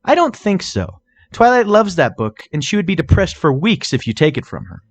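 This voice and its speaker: A man voicing a brash, quick-tempered female unicorn, firm and indignant, in a storybook reading. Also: sits high, wildly swooping pitch, pitched above the performer's own voice, exaggerated voice. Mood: stern.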